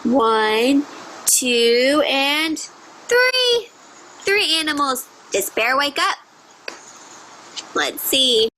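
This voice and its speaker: High, bright woman reading to children. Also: very animated, a little hiss or hum, rough recording. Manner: exaggerated, drawn-out storytelling delivery with child-directed asides. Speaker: teacher reading a picture book aloud to young children. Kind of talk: animated read-aloud with questions to young listeners.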